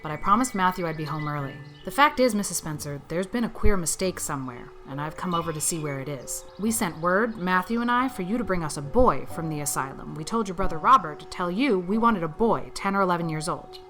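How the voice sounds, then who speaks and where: A woman playing a stern, practical older farm spinster, brisk and plain-spoken, in a dramatized storybook. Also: on the low side, animated, music in the background, close to an everyday voice. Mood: brisk, troubled. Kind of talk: dramatized audiobook acting.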